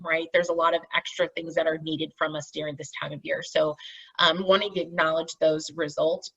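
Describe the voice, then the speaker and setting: A woman with a forceful, bright edge and some rasp leads an online meeting in an informal, encouraging way. She explains step by step and checks in with her listeners. The audio is muffled and sounds away from the microphone. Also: lowish voice. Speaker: meeting facilitator, team lead. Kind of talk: informal presentation on a group call. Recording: dull, distant video-call audio.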